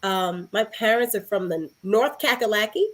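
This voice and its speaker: Woman from Harlem, medium-pitched, reflective conversation. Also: normal speed, a bit bright. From Harlem, New York City. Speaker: featured podcast guest.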